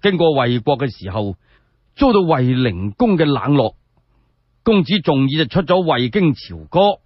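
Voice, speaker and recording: A man telling a story in Cantonese in a dramatic, colloquial radio storytelling style, with drawn-out phrasing and rhetorical questions. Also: on the high side, big pitch swings, slightly rough, dry recording. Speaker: solo storyteller. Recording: dull lo-fi sound.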